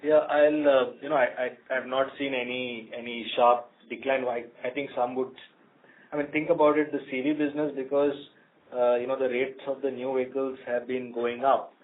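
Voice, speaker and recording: Indian-accented executive on tinny phone line. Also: male voice, mid-range voice, little pitch movement. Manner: hesitant, halting, repeats words. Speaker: company executive answering analysts. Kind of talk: unscripted earnings call answers. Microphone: telephone conference call.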